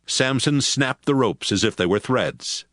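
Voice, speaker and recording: A man's voice: mid-range voice, lively intonation, a little slow, a bit bright, dry recording, clean studio sound. A man giving scripted narration for a Bible audio drama.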